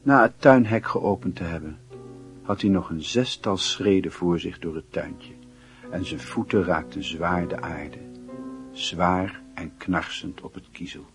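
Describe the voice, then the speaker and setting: Casting: solemn literary narrator for radio drama, very low male voice, introspective and dramatic. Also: a little slow, soft background music. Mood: grave, resolute. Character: solemn storyteller of a lovesick youth's inner life.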